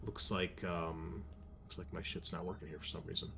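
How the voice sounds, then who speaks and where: A man narrating casually as game master, improvising in a halting way. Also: sits low, little pitch movement, gravelly.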